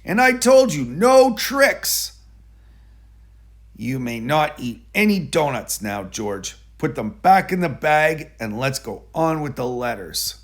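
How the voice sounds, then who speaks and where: A man voicing a brisk, firm, kindly male guardian instructing a child in a storybook, with emphatic delivery. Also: on the high side, sing-song. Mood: scolding.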